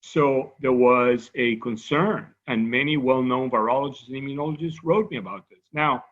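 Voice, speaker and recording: A man's voice: medium pitch, moderate pace, a bit bright, highs cut off. A man from Cuba with a Cuban Spanish accent, giving a scientific lecture in a conversational way, often restarting his sentences and adding asides. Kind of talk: academic lecture.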